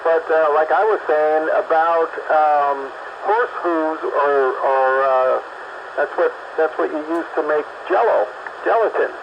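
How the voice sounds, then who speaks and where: A man in a casual radio chat, speaking in a hesitant, halting way. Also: a little high, vocal fry. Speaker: radio hobbyist on an on-air group chat. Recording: dull, hissy radio signal.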